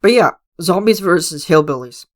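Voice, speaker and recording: A man hosting a podcast from his room at home, hoarse and raspy as he loses his voice, congested and coughing, rambling with lots of fillers and restarts. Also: fairly high pitch, lively intonation, normal speed, a bit bright. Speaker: podcast host. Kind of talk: casual solo podcast chat.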